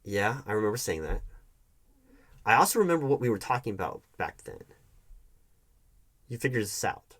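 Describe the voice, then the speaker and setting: Man playing a defensive, wounded ex with swooping pitch. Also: very animated, a bit bright. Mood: defensive, exasperated. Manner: defensive, halting, repeats the other's accusations as questions. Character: defensive, wounded male hiring manager arguing with his ex. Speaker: roleplay performer. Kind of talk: one-sided audio roleplay, conversational acting.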